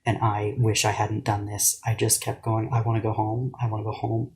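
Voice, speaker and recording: A man's voice: lowish voice, level tone, moderate pace, gravelly, darkish tone, husky. A man giving regretful, hesitant personal testimony in an interview.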